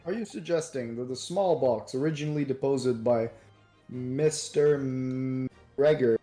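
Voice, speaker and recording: Man putting on a slow, pompous courtroom judge. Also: medium pitch, warm tone, faint music behind, voice pushed down, lightly acted. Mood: grave. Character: pompous, easily astonished British courtroom judge.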